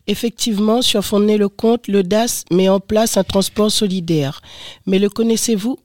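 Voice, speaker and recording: A woman's voice: mid-range voice, fairly even intonation, normal speed, darkish tone, dry recording, studio quality. A woman presenting a radio programme from a script, introducing reports and guests.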